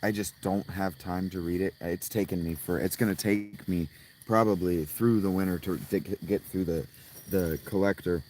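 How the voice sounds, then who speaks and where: Hoarse low-voiced man chatting at night. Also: fairly even intonation, moderate pace, darkish tone, a little hiss or hum. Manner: rambling, profane, restarts often. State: hoarse, strained voice. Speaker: livestreamer. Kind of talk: casual livestream chat with viewers. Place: outdoors at night by a fountain.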